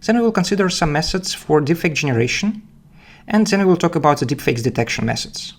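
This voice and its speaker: A man with a Belarusian accent giving technical explanations as a guest speaker, haltingly, often repeating words. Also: a little high, animated. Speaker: guest speaker, data scientist. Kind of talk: technical presentation and interview answers.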